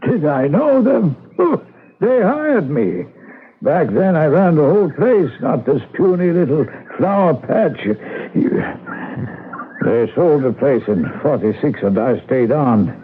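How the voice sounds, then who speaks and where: An actor playing an old groundskeeper, wry, guarded and folksy, who rambles and hesitates in a radio drama. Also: male voice, a little high, sing-song, takes it slowly. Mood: reminiscing. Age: older. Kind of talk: 1970s radio drama acting. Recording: muffled old radio broadcast with hiss.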